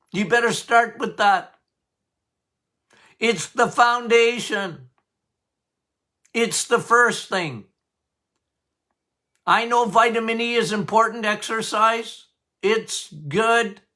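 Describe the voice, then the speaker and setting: Loud, emphatic older man doctor. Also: very high-pitched. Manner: loud, emphatic, passionate, laughs often. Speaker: podcast host, doctor. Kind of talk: casual health podcast talk.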